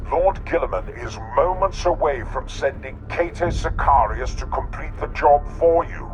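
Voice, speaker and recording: A man's voice: mid-range voice, lightly acted. Severe space marine superior played by a man. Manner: grave, authoritative, clipped orders. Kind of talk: dramatic game voice acting.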